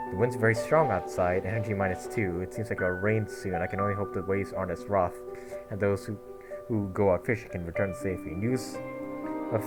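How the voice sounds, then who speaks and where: A man playing a game story quest and chatting casually, muttering and reading game text aloud with little stumbles. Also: fairly low pitch. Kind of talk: casual gameplay commentary. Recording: loud game music behind.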